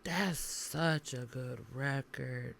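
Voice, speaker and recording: Singer lady on a podcast, laid back and chatty, a bit of vocal fry. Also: very deep voice, extremely slow, a bit bright, a little hiss or hum. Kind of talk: casual interview chat.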